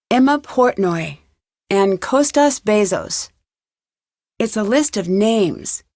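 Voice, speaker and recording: A young American woman voicing a polite, inquisitive amateur detective in a scripted adventure game, composed and clearly spoken. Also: mid-range voice, dry recording, clean studio sound. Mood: curious, composed. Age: young adult.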